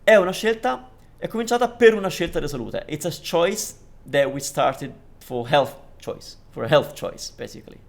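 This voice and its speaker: A man's voice: fairly high pitch, animated, normal speed, creaky. A man from Italy teaching Italian, explaining phrases with restarts and fillers, switching between English and Italian. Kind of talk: language lesson commentary.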